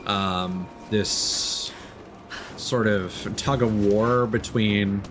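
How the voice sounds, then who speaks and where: A man's voice: fairly low pitch, steady tone, extremely slow, background noise. A man who streams games, thinking aloud in casual, conversational live commentary while he plays. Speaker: game streamer.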